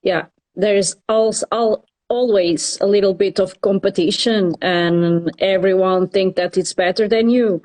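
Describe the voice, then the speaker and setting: Slow, mellow nurse. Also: female voice, mid-range voice, steady tone, poor audio. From Portugal. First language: Portuguese. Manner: halting, hesitant English. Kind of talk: casual interview.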